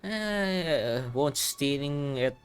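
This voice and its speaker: A man's voice: on the high side, animated, very slow. A young man giving casual, slangy gameplay commentary as the host of a playthrough. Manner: slangy, offhand. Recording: game music behind.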